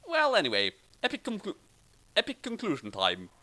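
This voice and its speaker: A man's voice: high voice, wildly swooping pitch, normal speed, pushed and bright. A man giving casual commentary as the author of a comic story.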